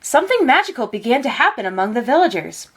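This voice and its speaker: A woman narrates a children's picture book. Her voice sits fairly high and moves widely in pitch, with measured, expressive storytelling. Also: husky.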